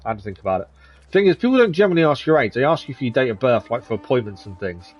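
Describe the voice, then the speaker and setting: Streamer in his 30s, mid-pitched, chatty, mutters at enemies while playing. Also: big pitch swings, racing, husky, muffled recording, faint music behind. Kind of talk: live gameplay commentary.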